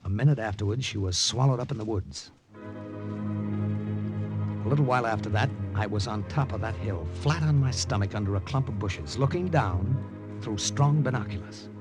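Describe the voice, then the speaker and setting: An actor playing an undercover American agent, narrating a 1950s radio drama in terse, suspenseful style. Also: male voice, fairly low pitch, expressive, moderate pace, lightly acted. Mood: tense, suspenseful. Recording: vintage 1950 radio recording, music behind.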